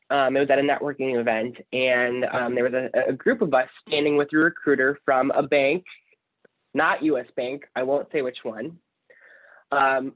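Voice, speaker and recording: A young man with a naturally higher-pitched voice tells a candid story with a wry edge and plenty of fillers. He speaks from a home office over a video call. The audio is narrow and phone-like. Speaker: alumni panelist. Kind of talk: storytelling on a panel.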